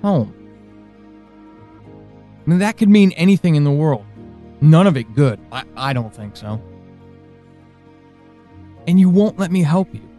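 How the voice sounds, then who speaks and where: A man playing an anxious, pleading character in an audio drama monologue, speaking earnestly and haltingly. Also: on the high side, animated, dark tone, soft background music. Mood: worried, urgent. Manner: halting, earnest, with pauses and emphatic swings. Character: anxious, pleading man reaching out to a lost loved one.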